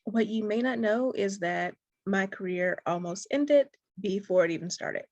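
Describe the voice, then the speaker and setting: A young adult woman and dating coach, speaking softly and encouragingly in a direct-to-camera talk. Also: medium pitch, a little slow, no room sound, highs cut off. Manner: soft-spoken, warm and encouraging.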